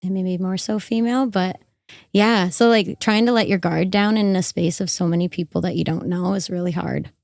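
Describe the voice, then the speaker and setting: A young adult woman, slightly hoarse from karaoke, chatting casually as a podcast guest with many fillers and trailing phrases. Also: fairly low pitch, steady tone, normal speed, darkish tone, dry recording, clean studio sound. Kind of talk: casual interview chat. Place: van-life festival in the desert.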